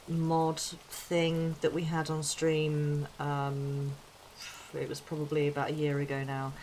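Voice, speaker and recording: A woman's voice: sits low, a little hiss or hum, rough recording. A woman chatting casually on a live stream, with lots of fillers and restarts, often breaking into laughter. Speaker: tarot streamer.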